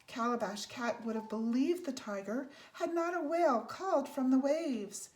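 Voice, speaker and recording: A woman with a slightly high voice narrates a children's picture book. Her storytelling is measured and deliberate, with certain phrases given extra weight. There is faint background noise in the recording. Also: a little mellow. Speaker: storybook reader.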